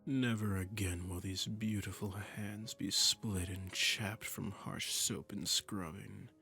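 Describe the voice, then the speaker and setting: A man's voice: lowish voice, fairly even intonation, slow, creaky, pushed and bright, faint music behind, exaggerated voice. A man playing a seductive, commanding demon prince in a romantic roleplay audio drama, speaking in a silky, imperious way and often dropping into a murmur. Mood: possessive, indulgent. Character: seductive, commanding male demon prince claiming a captive singer.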